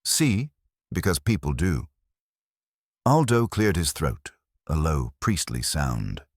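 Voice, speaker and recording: A man with an extremely deep voice narrates a first-person detective story. His delivery is measured and wry, with a lot of movement in the intonation. The recording is dry, with hardly any room sound. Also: very animated, breathy edge, dry recording. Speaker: audiobook narrator.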